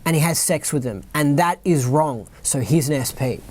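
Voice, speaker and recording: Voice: medium pitch, lively intonation, normal speed, a little mellow. A podcast host with an Australian accent, reading a written account aloud and breaking off into rambling asides, often asking "right?" and "you know what I mean?".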